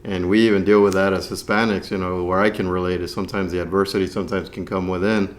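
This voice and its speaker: A man's voice: low-pitched, flat delivery, fast. A man co-hosting a podcast in casual conversation, agreeable and affirming as he talks. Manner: agreeable, often affirming the other speaker.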